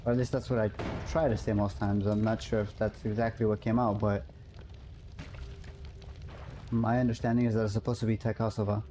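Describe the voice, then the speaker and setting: A man's voice: on the low side, flat delivery, brisk, long pauses, warm tone, slight background noise. A man streaming a game live, chatting casually and musing aloud, often correcting himself. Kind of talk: casual live-stream chat while playing.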